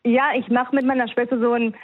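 A woman's voice: a little high, little pitch movement, brisk, narrow, tinny audio. A woman from Spain with a Spanish accent, calling in to a radio show and speaking German hesitantly, with frequent giggles. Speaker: caller. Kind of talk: phone-in conversation.

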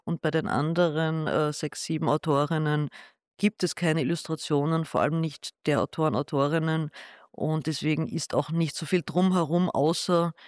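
An artist talks through his pictures slowly, circling back and restarting as he looks for words. His voice sits a bit high for a man, and the sound is dry and close, with no room around it. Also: steady tone, dry recording. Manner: thoughtful, hesitant, with restarts. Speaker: artist showing his exhibition. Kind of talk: gallery interview.

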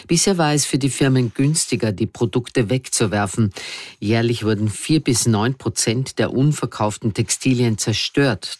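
A man reading scripted news in simple language in a measured, structured manner. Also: medium pitch, no echo, studio quality. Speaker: newsreader.

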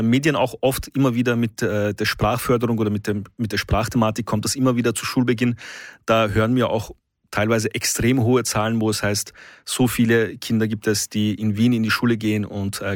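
A man's voice: mid-range voice, no echo, studio quality. A man hosting a discussion, asking long questions with many fillers. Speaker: host. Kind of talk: talk show discussion.

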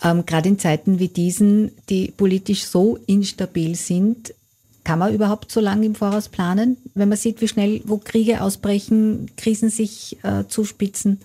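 A woman hosting an interview, asking thoughtful follow-up questions. Her voice sits in a middle range and sounds soft and mellow. She pauses to find her words now and then. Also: mid-range voice, fairly even intonation. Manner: curious, probing, slightly hesitant.

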